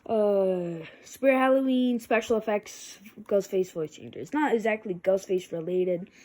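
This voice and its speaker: Mellow, rambling amateur video reviewer. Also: mid-range voice, measured pace. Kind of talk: casual amateur product review vlog.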